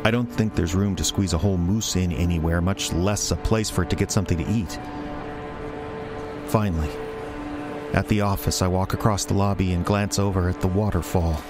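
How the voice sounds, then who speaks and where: An actor playing a dazed office man in a radio play monologue, speaking in halting, deliberate short phrases. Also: sits low, steady tone, over music, close to an everyday voice. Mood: dazed, fixated. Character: dazed office man haunted by a moose, slow and hushed.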